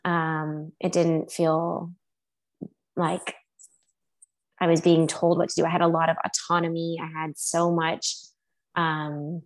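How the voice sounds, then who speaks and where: A woman from Texas speaking as an interview guest, answering thoughtfully with many pauses and restarts. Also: deep voice, completely flat delivery. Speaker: guest, high school teacher. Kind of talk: casual conversational interview.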